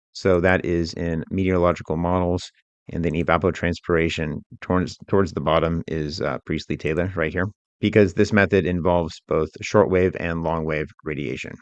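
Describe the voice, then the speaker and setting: A man working as an instructor, narrating a software tutorial in a methodical, informal, step-by-step way. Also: deep voice, dry recording, dull audio.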